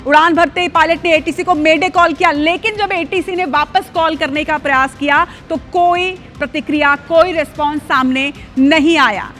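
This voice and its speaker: A woman's voice: high voice, steady tone, normal speed, hardly any pauses, a bit bright, faint music behind. A woman anchoring live breaking news in an urgent, emphatic manner, driving key points home with repetition.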